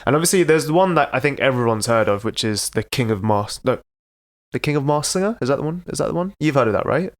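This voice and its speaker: A man co-hosting a casual podcast while sick with a cold. He speaks at a medium pitch, hesitant and full of fillers and restarts. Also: lively intonation, fast. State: ill, with a cold. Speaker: podcast co-host. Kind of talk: casual chat.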